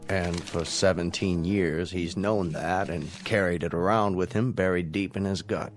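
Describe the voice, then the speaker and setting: A man acting an American psychiatrist, calm and thoughtful, with a fairly deep voice. He probes in a measured way, in radio drama style. Faint music plays behind him. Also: close to an everyday voice. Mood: reflective. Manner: measured, probing questions. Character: calm, probing, reflective male psychiatrist.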